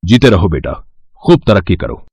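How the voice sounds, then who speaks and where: A man's voice: sits low, big pitch swings, normal speed, darkish tone, breathy edge, heavily acted. A man voicing a stern, well-off father in a cartoon moral story. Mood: kindly.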